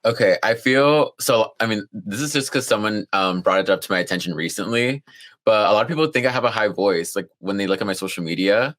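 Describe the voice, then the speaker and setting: Low-voiced, laid-back young man. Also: brisk, a bit bright. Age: young adult. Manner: laid back, heavy use of "like". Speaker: guest, college student. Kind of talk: casual interview chat.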